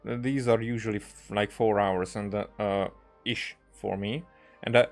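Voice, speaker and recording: A man giving casual, rambling gameplay commentary as a streamer, halting and often repeating his words. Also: fairly low pitch, fairly even intonation, gravelly. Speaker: game streamer. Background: game music.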